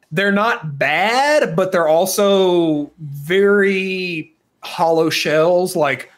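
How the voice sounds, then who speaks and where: Higher-pitched man chatting casually on a podcast. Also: fairly bright tone. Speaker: podcast co-host. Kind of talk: casual discussion of films and games.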